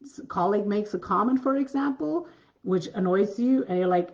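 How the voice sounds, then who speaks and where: A woman with a deep voice giving a coaching masterclass, earnest and repetitive, often restarting her sentences. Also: normal speed, darkish tone, muffled recording. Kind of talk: masterclass talk.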